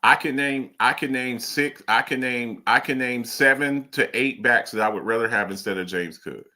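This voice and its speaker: A man hosting a casual sports talk show, arguing about players in an animated way, with lots of restarts and pointed questions. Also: mid-range voice, moderate pace.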